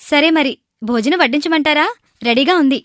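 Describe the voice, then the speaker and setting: Woman acting high-pitched eager young wife. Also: moderate pace, fairly bright tone, no echo, clean studio sound. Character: eager young newlywed wife proud of her cooking. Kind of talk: animated moral story dialogue.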